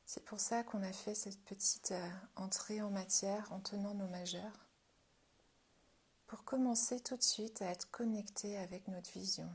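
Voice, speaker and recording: Breathy woman guiding a self-care practice. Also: mid-range voice, steady tone, measured pace. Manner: gentle, reassuring, invitational. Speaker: Jin Shin Jyutsu self-help instructor. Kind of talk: guided practice lesson, spoken informally.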